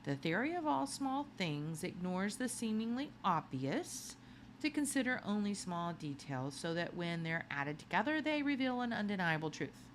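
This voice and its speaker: A quirky, bright girl played by a woman reading a storybook, loyal but skeptical. She is questioning and wry, with lively swings in pitch, and there is slight noise on the recording. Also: moderate pace, natural voice. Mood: pleased, proud.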